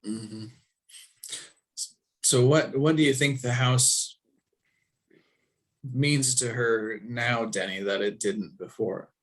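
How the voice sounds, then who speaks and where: A man leads a thoughtful book discussion at an unhurried, slow pace. His phrasing is hesitant, feeling its way toward each point. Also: medium pitch, fairly even intonation, fairly bright tone.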